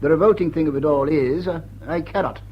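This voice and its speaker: An actor playing a pompous, self-important lord in a radio drama, halting and repeating himself as he frets. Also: fairly high pitch, close to an everyday voice. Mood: pained, solemn. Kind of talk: stage-comedy radio drama acting. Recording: dull, muffled radio drama recording.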